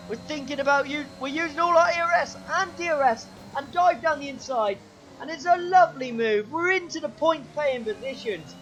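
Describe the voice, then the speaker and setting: A man commentating his own racing game run, excitable play-by-play with a sore, strained throat. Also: a little hiss or hum, low-quality recording. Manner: excitable play-by-play, pitch jumps when overtaking. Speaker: gaming channel host.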